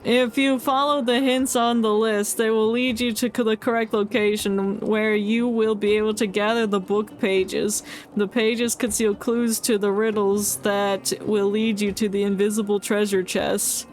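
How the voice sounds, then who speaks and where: Slow-paced woman streaming a game. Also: mid-range voice, level tone, a little hiss or hum. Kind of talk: casual livestream commentary, reading game text aloud.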